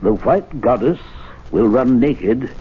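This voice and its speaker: An actor playing a timid, anxious gentleman of a men's club who talks hesitantly, full of uhs. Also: medium pitch, expressive, slow, breathy edge, heavily acted. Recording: tinny 1970s radio broadcast.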